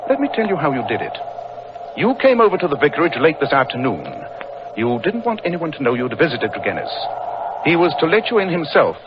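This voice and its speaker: British male detective, 1940s radio drama, highly varied intonation, authoritative, coolly deductive, dull and very noisy vintage recording. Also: big pitch swings, brisk. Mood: coolly accusing. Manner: crisp, authoritative, theatrical. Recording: noisy, muffled old-time radio recording.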